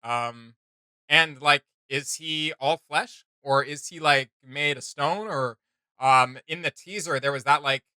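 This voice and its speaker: A man's voice: on the high side, slow pace, bright, forceful tone, clear, resonant tone, no echo. A man hosting a reaction video, thinking aloud in casual, rambling commentary.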